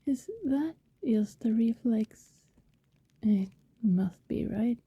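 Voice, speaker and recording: A woman playing a tender, possessive vampire in an intimate ASMR roleplay, seductive and wistful, whispering slowly and soothingly close to the microphone. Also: a little high, dry recording, lo-fi sound, exaggerated voice. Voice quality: breathy, mostly whispered. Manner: slow, lingering, soothing delivery. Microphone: very close, dry microphone.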